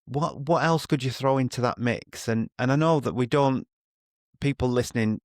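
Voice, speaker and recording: A man hosting a podcast interview, halting and rambling as he thinks aloud in a conversational way. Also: mid-range voice, no echo, studio quality. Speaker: podcast host.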